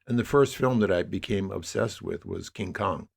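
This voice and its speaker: A man in his sixties with an American accent and a low-pitched voice. He talks as an interview guest, unfolding his thoughts in a reflective, discursive way and leaning on key words. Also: normal speed, gravelly, slightly rough. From New York area, United States. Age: 60s. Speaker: interview guest, media producer.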